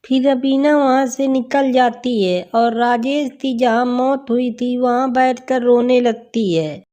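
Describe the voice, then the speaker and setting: High-pitched, mellow woman storyteller. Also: steady tone, slow pace. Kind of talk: scripted bedtime story narration.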